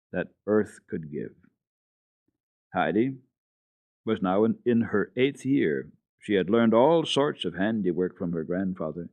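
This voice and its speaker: A man's voice: lowish voice, dry recording. A man narrating a children's classic as a radio storyteller, reading dramatically. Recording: dull archival radio tape, dry.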